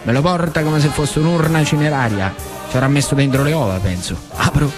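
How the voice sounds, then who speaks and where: A man with a central Italian accent from northern Lazio, performing an animated, colloquial theatre monologue in working-class dialect, repeating phrases for emphasis. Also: fairly high pitch, fairly quick. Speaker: actor and storyteller. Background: music.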